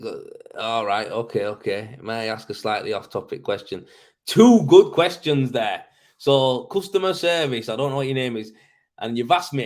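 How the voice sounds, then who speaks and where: A man with a Yorkshire accent hosts a casual livestream at a medium pitch. He talks in a matey, colloquial way, thinking aloud as he works through his answers. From Leeds, Yorkshire, England. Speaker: live show host, tradesman in plastering. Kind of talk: casual livestream chat, answering viewer questions.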